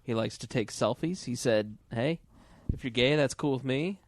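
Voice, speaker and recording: Casting: male podcast host, medium pitch, casual and irreverent, blunt joker and questioner, dry sound. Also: normal speed, no echo. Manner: blunt, joking.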